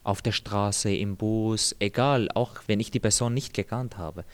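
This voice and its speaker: Low-voiced Syrian man, measured and thoughtful. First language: Arabic. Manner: thoughtful, measured, pauses and repeats words. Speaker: interviewee. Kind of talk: calm personal account.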